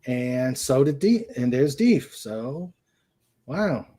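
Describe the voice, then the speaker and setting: A man chatting casually as co-host of a livestream, halting and often searching for his words. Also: mid-range voice, very animated, warm tone.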